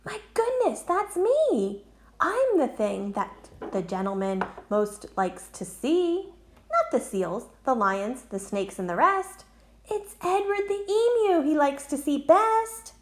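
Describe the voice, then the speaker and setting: Woman reading as an excitable, high-pitched boy emu. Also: slow pace, heavily acted. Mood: gasping, delighted. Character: Edward, an eager, boastful, excitable male emu. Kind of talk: children's picture book read-aloud.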